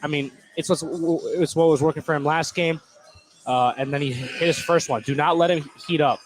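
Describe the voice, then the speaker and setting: Casual American man, slightly high-voiced basketball analyst. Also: fairly even intonation, moderate pace, a little hiss or hum, rough recording. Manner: casual, slangy. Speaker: color commentator. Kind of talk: live basketball analysis, conversational. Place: indoor high school gym.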